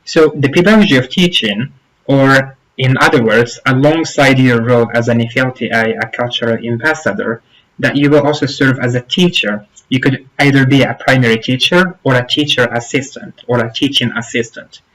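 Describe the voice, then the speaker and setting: A young man whose first language is Arabic, hosting his own channel and giving application tips in an informal spoken tutorial. He sounds earnest and advisory, stresses points by repeating them, and speaks deliberately with restarts and fillers. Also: mid-range voice, fairly bright tone, rough recording. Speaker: YouTube channel host giving application advice.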